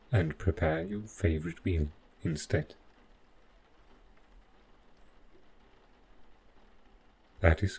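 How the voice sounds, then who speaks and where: A man playing a devoted, overprotective robot butler in a scripted audio roleplay, speaking in formal, measured, literal phrases. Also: sits low, darkish tone, slight background noise. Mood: dutiful, precise.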